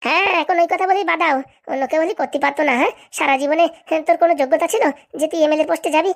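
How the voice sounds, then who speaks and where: Casting: woman to play domineering cartoon wife, high creaky voice, relentless scolding. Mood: scolding, contemptuous. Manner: scolding, accusatory, rapid-fire haranguing. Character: bossy, nagging, domineering wife of a village politician. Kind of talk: comic cartoon voice acting.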